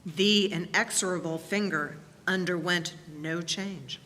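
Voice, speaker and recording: Female narrator for a staged reading, unhurried and expressive, in a reverberant hall with faint hum. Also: on the low side. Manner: deliberate, expressive storytelling. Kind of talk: dramatic scripted narration.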